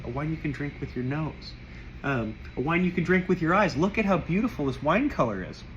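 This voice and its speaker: A man losing his voice, who rambles warmly through a casual wine tasting and keeps clearing his throat. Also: a little high, animated, a little fast, lo-fi sound, a little hiss or hum. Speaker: wine shop owner tasting wines.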